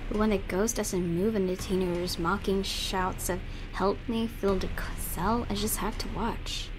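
A woman's voice: medium pitch, steady tone, measured pace, background noise. A young woman playing a game, reading its text aloud and reacting casually with giggles and exclamations. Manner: giggly, exclamatory, self-correcting. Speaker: let's player.

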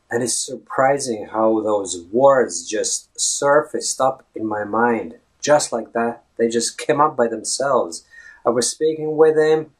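A man's voice: mid-range voice, slightly rough, rough recording. Chatty Latvian man vlogging to webcam. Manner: chatty, self-correcting, stretches words for emphasis. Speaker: English fluency blogger. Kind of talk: casual video blog advice. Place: indoors, small room. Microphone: webcam at head-and-shoulders framing.

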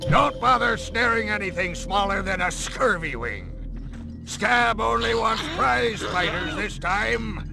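A greedy pirate creature captain from a video game. The voice is high and gravelly, swinging widely as it barks bossy orders in pirate slang. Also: male voice, lively intonation, slow, over music, full character voice. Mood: commanding.

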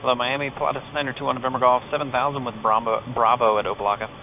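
A man's voice: medium pitch, rapid-fire, lo-fi sound, heavy background noise. A man with an American accent, a pilot talking casually and making radio calls through a headset while flying. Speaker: pilot narrating a flight. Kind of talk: casual cockpit vlog mixed with clipped radio calls. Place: cockpit of a small turboprop plane in flight. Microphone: aviation headset. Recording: steady aircraft drone behind headset audio.

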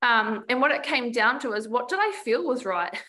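A woman's voice: on the high side, animated, moderate pace, bright, forceful tone. A woman in her forties with a New Zealand accent, a business coach talking frankly on a video call interview from a room at home. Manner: chatty, frank, many restarts. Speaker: podcast guest, business coach. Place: home room with door and painting. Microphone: video call webcam.

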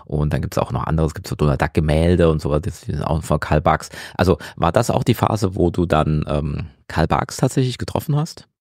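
A man hosting a conversational interview, explaining things hesitantly with many filled pauses. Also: extremely low pitch, a little mellow, dry recording, clean studio sound. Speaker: interviewer, podcast host.

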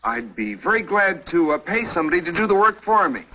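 A man's voice: high-pitched, sing-song, a little fast, a little hiss or hum. A glib young city man. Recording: dull old TV soundtrack with music.

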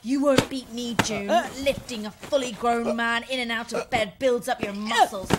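Actress as a flustered, high-voiced indignant woman. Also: fairly even intonation, moderate pace, heavy background noise, close to an everyday voice. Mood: indignant, threatening. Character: flustered, indignant English woman, romance novelist, reluctant guest. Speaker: actor in an audio drama. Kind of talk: horror-comedy audio drama acting.

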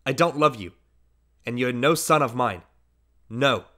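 Man doing a stern dad, firm and scolding, a bit louder than the narration. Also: mid-range voice, measured pace, natural voice. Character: stern middle-aged father, a prosecutor.

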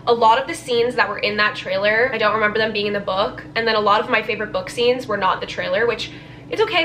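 A woman in her twenties giving a casual, expressive, informal vlog reaction with lots of "like". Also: on the high side, slight background noise, rough recording. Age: 20s. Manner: expressive, informal, filler-heavy "like". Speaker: book vlogger. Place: small bedroom, indoors.

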